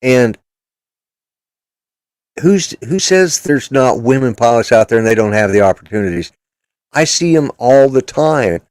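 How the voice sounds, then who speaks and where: A man's voice: medium pitch, animated, unhurried, pauses often, darkish tone, clear, resonant tone, no room sound, clean studio sound. An older man hosting a casual political chat show, talking in a blunt, rambling, opinionated way. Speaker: podcast host.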